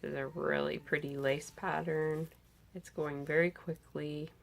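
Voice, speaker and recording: A woman in her thirties, a little hoarse from a cold, chatting enthusiastically about her knitting projects in a bedroom, with many filler sounds. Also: low-pitched, steady tone, a little slow. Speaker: knitting podcast host. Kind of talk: casual show-and-tell chat. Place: bedroom with pillows, indoors.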